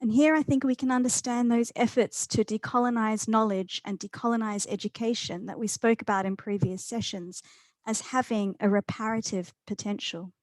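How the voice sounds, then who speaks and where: A fairly high-voiced woman hosts an academic seminar. She reads prepared remarks in a composed, even way. The sound is close and dry. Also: no echo. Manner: composed academic delivery, politely handing over to the panel. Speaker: seminar host and moderator. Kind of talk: reading a prepared academic talk.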